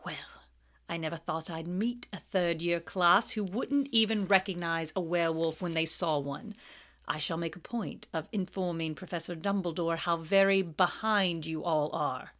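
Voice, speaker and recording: A woman voicing a cold, sarcastic male teacher who speaks slowly, quietly and with silky menace. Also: fairly low pitch, lightly acted. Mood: cold, menacing. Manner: slow, quiet, icy and sarcastic.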